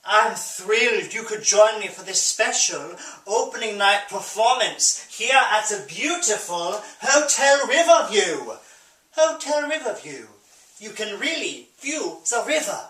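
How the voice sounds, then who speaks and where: Guy doing a campy bitter drag rock diva stage monologue, sharp bright voice, grandiose, echoey and a bit far from mic. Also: high-pitched, very animated, heavily acted. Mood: gushing, campy. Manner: grandiose, campy, dramatic pauses. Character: flamboyant, bitter, theatrical East German drag rock diva. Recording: roomy echo, distant microphone.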